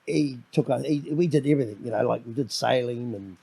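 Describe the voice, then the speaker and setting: A middle-aged man with a New Zealand accent, a podcast guest in casual conversation, with a loud, domineering voice that rambles and repeats words as he starts his sentences. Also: medium pitch, a little fast, vocal fry, breathy edge, no echo.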